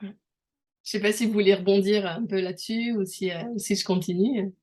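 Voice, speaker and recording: A woman's voice: medium pitch, fairly even intonation, moderate pace, a little mellow. A middle-aged woman from France talking casually in an interview, chatty and full of restarts as she explains with examples. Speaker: host.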